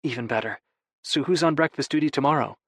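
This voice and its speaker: Man voicing a soft, playful young wife. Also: fairly high pitch, fast, no room sound, pitched above the performer's own voice. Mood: teasing. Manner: soft, affectionate, teasing. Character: playful, tender young wife, softly teasing. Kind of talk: audiobook dialogue.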